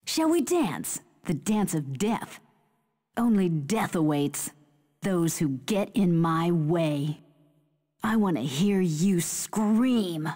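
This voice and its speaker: A woman's voice: lowish voice, lively intonation, slow, mellow, long reverb tail. An actress playing a fierce female fighter who taunts opponents in haughty, theatrical battle lines for a video game. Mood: cruel, disdainful. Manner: haughty, theatrical, clipped declarations.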